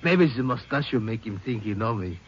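A man's voice: lowish voice, animated, a little fast, close to an everyday voice. An actor playing a polite, evasive Mexican man in an old radio drama, with a Mexican accent and broken English sprinkled with Spanish. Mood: evasive denial. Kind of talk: 1950s radio drama acting. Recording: muffled, noisy old radio recording.

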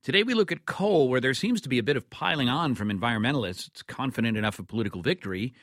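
A man's voice: mid-range voice, expressive, fast talker, fairly bright tone, no room sound, clean studio sound. A man hosting a radio news interview. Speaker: radio host.

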